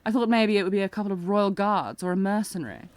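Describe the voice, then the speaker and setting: A woman's voice: mid-range voice, fast, no echo, lightly acted. A woman playing a sardonic, protective knight bodyguard in a fantasy audio roleplay, with a taunting, commanding, intense manner. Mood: mocking, exasperated. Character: sardonic, protective female knight bodyguard, old academy rival. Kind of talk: scripted fantasy audio roleplay, acted one-sided dialogue.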